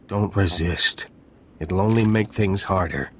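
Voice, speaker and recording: Grim, low-voiced desperate villager. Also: male voice, a little slow, slight background noise. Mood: grim resolve. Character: grim desperate villager willing to kill for his people. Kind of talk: video game cutscene acting.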